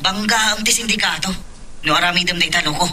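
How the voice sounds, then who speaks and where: A man's voice: high-pitched, quick pace, sharp tone. Stern, piercing gangster voiced by a man. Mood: threatening. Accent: Filipino. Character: stern, threatening crime-syndicate associate with a hideout. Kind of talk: Ilocano radio-style drama acting. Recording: noisy, distant-sounding home recording.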